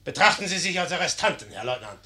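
An actor playing an imperious, impatient young general, curt and commanding, in a 1950s German radio play. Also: male voice, a little high, big pitch swings, quick pace, bright, forceful tone.

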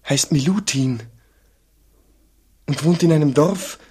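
An actor playing a humble, earnest monk in a radio drama, deferential and hesitant, with an agitated intensity. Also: male voice, on the high side, takes it slowly, darkish tone, breathy edge, full character voice. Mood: urgent, awed. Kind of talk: German radio play acting.

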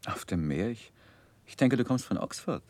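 An actor playing an earnest, bashful and loyal young gentleman in a German radio drama from the 1960s, with hesitant, literary phrasing. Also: medium pitch, animated, moderate pace, close to an everyday voice. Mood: curious. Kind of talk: 1960s German radio drama acting and first-person narration.

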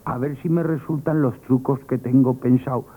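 A man's voice: mid-range voice, steady tone, normal speed, natural voice. Timid comic shop boy, acted by a man, tinny radio. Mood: nervous, pleading. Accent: Spanish, from Spain. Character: timid, comically frightened young shop boy, Madrid working-class. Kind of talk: 1970s Spanish radio comedy theatre acting. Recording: tinny, band-limited old radio broadcast.

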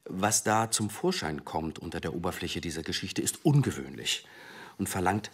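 An actor playing a composed, tactful senior police commissioner, speaking formally and with polite insistence in a German radio drama. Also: male voice, low-pitched, very animated, moderate pace, slightly rough, heavily acted. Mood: measured, earnest.

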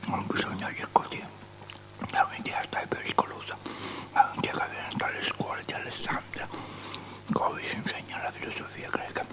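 An actor playing a pious, disputatious religious elder in a radio drama, arguing in a breathy, conspiratorial whisper. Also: male voice, normal speed, close to an everyday voice. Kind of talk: avant-garde theatrical radio drama.

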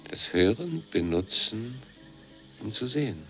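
A man's voice: fairly low pitch, sing-song, measured pace, fairly bright tone, soft background music. An actor playing a pensive, musing man in a German radio play, speaking tersely.

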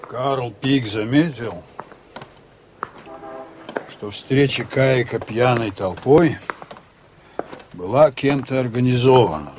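Actor as slow-spoken, stern sea captain. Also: male voice, medium pitch, a little mellow, a little hiss or hum, lightly acted. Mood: pensive. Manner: measured, terse, dignified. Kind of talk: radio play acting. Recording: music and crowd behind the voice.

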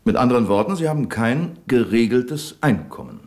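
An actor playing a cool, ironic police inspector who questions suspects in a radio crime play. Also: male voice, mid-range voice, very animated, normal speed, a little mellow, natural voice. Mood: interrogating. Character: cool, probing middle-aged male police inspector. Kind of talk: 1970s radio crime drama acting.

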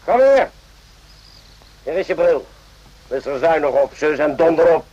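An actor playing an irritable, suspicious army medic in a radio drama, with a gruff, interrogating manner. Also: male voice, on the high side, sing-song, unhurried, warm tone, full character voice. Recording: dull lo-fi radio play recording.

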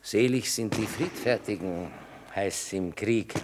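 A man's voice: on the low side, slow. An actor playing a timid, sanctimonious army clergyman, hesitant and wheedling. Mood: anxious, wheedling. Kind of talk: stage-style radio drama acting. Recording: noisy track with battle sounds.

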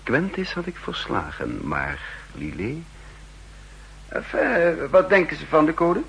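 An actor playing an older, wry and condescending spy chief who also narrates in the first person, in 1960s radio drama style. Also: male voice, fairly high pitch, wildly swooping pitch, slow, gravelly, a bit bright, slightly rough, full character voice. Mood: wry, brisk. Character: aging spy chief and cryptographer, wry, secretly scheming. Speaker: narrator and lead character. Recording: humming, noisy 1960s radio recording.

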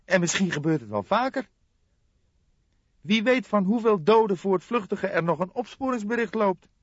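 A man's voice: sits high, expressive, moderate pace, no echo, highs cut off, voice pushed up. A man voicing an irritable, sardonic young police detective in an audiobook. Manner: exclamatory, gloomy, sardonic. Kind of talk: audiobook character voice.

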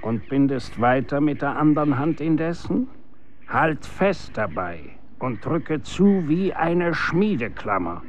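An actor in a German radio drama playing a stern, grave executioner instructing his son in commanding, archaic, measured diction. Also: fairly high pitch, lively intonation, slight background noise.

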